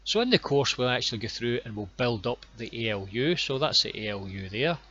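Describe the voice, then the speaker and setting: Bright-voiced Scottish man explaining. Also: medium pitch, expressive, highs cut off, a little hiss or hum. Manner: plain, unhurried explaining with frequent ums and repeated words. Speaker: online course instructor. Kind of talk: screen-recorded course walkthrough.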